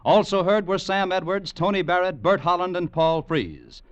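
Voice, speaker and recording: A man's voice: high voice, normal speed, no room sound. A man announcing in scripted 1940s network radio style, polished and emphatic. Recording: dull vintage radio broadcast.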